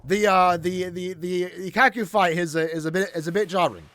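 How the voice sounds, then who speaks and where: High-pitched man doing casual game commentary. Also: fairly even intonation, brisk, fairly bright tone. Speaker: gaming video host.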